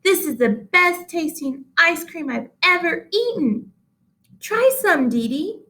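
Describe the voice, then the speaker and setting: A woman's voice: extremely high pitch, animated, slow, pushed and bright, voice pushed up, heavily acted. A woman reading a storybook as a blunt, enthusiastic schoolboy with emphatic delivery. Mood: excited, blunt.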